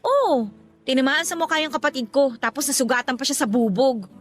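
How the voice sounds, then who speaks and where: A woman's voice: sits high, fairly quick. A woman playing a troubled, indignant young working woman in a Tagalog radio drama, talking in an emotional, aggrieved way.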